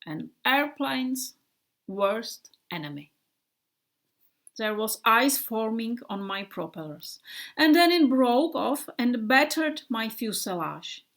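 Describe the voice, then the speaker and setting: A woman's voice: fairly high pitch, very animated, slow, a bit bright, heavily acted. A woman voicing an earnest male bomber plane in a storybook, vividly telling his friends about a dream. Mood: dramatic.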